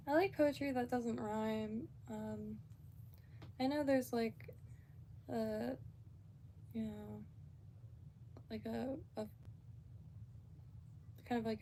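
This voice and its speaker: A woman's voice: a little high, warm tone, a little hiss or hum. A woman from Florida, chatting casually and rambling on a live stream with lots of fillers and restarts.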